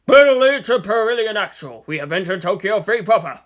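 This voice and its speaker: A woman's voice: mid-range voice, expressive, brisk, pitched above the performer's own voice, close to an everyday voice. Woman playing a tense, clipped military squad leader. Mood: businesslike. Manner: clipped military radio talk. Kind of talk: dramatized fan fiction reading.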